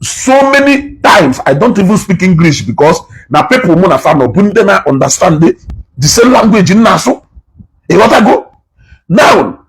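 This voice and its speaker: A man's voice: high voice, husky, rough recording. A man giving passionate reaction commentary, preachy and repeating words for emphasis. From Nigeria, Igbo.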